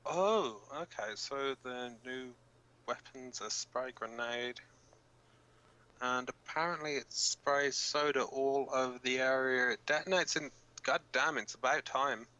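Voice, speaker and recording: Man playing a bright-voiced, informal resistance radio fighter. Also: medium pitch, lo-fi sound. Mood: pleasantly surprised. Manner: halting, informal, blunt, cursing. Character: flustered, sweary young male resistance fighter reading radio bulletins. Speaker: radio co-host in a fiction drama. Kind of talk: amateur audio drama, fictional pirate radio broadcast.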